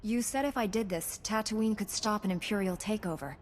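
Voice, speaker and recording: An actress playing a composed, dutiful young hero knight in a video game, earnest in delivery. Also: female voice, mid-range voice, brisk, slight background noise, natural voice. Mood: resolute. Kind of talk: video game character dialogue.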